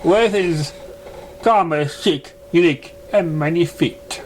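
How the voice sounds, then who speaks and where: A man's voice: a little high, slow. A man voicing a chic female pony shopkeeper in a storybook, giving a theatrical, singsong welcome. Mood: welcoming, showy. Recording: noticeable background noise, amateur recording.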